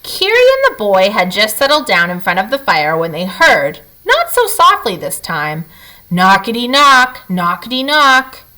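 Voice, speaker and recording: A woman reading a children's picture book aloud as a storyteller, with expressive, dramatic emphasis for young listeners. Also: mid-range voice, normal speed, hardly any pauses, rough recording.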